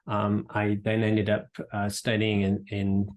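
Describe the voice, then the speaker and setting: A middle-aged man from the United Kingdom, explaining things in a measured way during an interview. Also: fairly low pitch, completely flat delivery, darkish tone. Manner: measured, explanatory, frequent restarts. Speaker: president and CEO of an economic council. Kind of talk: podcast interview answers.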